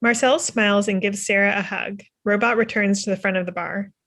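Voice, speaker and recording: A woman's voice: medium pitch, fairly even intonation, fairly quick, a bit bright. A young woman narrating stage directions from a script over a video call. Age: young adult.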